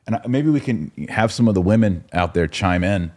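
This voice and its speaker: A man's voice: lowish voice, animated, a little fast, darkish tone. A man hosting a podcast in a casual, conversational way. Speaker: podcast host.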